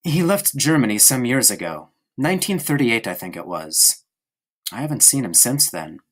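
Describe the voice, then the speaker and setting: A man's voice: medium pitch, very animated, normal speed, a bit bright. A man voicing a young officer who answers politely but evasively. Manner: hesitant, evasive.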